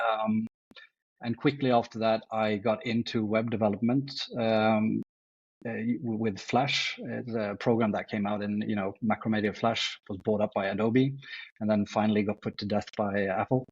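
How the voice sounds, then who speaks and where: A husky, breathy-voiced Norwegian man in his forties talks through his life story as a guest, halting and restarting his sentences. The recording is dull and lo-fi. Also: lowish voice, fairly even intonation. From Norway. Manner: hesitant, many restarts and fillers. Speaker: guest artist. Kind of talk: casual interview answers.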